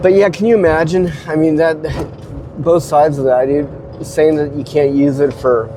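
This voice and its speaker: A man's voice: on the high side, steady tone, measured pace, noisy background. Stuffy-nosed man rambling with a cold. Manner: rambling, hesitant, many restarts. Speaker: host. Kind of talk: casual spoken commentary. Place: car.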